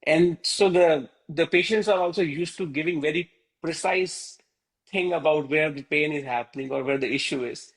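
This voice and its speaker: Man from India, Hindi teacher, video call, rambling with fillers, fairly high voice. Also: moderate pace, rough recording. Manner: rambling, many fillers like "you know". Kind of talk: online class teaching.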